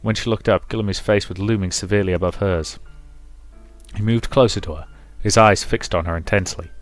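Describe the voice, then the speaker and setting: A man's voice: on the low side, fairly even intonation, fairly quick. A man reading a visual novel's story narration aloud as a let's play narrator. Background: music.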